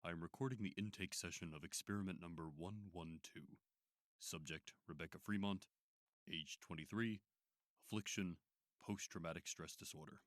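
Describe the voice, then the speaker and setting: Institute doctor, audio drama. Deep male voice, measured, formal, probing; dry, close recording. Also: a bit bright, dry recording. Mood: clinical, official. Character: smooth, probing male psychiatrist running an institute.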